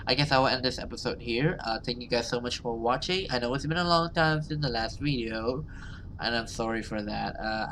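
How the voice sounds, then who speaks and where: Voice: mid-range voice, fairly bright tone, a little hiss or hum. A gaming video host who reads a horror story dramatically and adds casual commentary.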